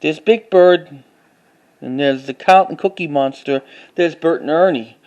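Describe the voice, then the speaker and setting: Guy w a pretty high voice doing a casual collection show and tell, halting, repeats himself, reads titles out. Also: darkish tone, muffled recording. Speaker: collector showing finds to camera.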